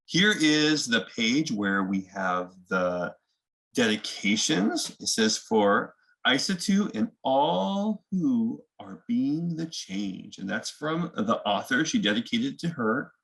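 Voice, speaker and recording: A man reads a children's picture book aloud in a creaky, gravelly voice of medium pitch. He narrates the story and speaks to young viewers in a conversational, explanatory way. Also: expressive, slow pace, fairly bright tone, poor audio. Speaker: read-aloud host.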